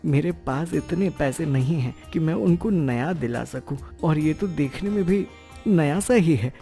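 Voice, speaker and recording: A man's voice: a little high, animated, measured pace, warm tone, faint music behind. A man voicing a poor, humble and polite working father in a cartoon moral story.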